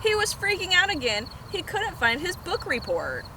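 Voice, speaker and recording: A woman reads a children's story aloud in a high voice. Her delivery is expressive, with big swings in pitch. It is recorded outdoors on a camera, with clear noise around her. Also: normal speed. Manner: expressive, animated. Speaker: storytime reader. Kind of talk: children's picture book read-aloud.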